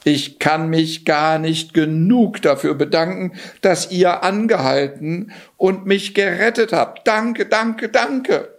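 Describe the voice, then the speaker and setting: A man's voice: fairly high pitch, sing-song, slow, few pauses, voice pushed up. A man voicing a timid, bewildered and grateful young man in a fairy tale, hesitant and stammering. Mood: shivering gratitude.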